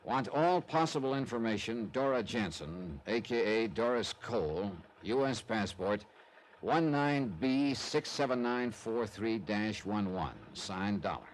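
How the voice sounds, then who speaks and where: A man's voice: lowish voice, expressive, a little slow, a little hiss or hum, rough recording. An actor playing a wry, sardonic investigator who narrates his case in a clipped old-time radio detective style. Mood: businesslike. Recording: vintage radio recording with music.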